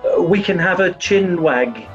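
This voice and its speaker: A man playing a grumpy, dim-witted upper-class British gentleman detective, reluctant and flustered, in a 1940s radio comedy. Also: high-pitched, lively intonation, a little slow, faint music behind. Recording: muffled, distant microphone.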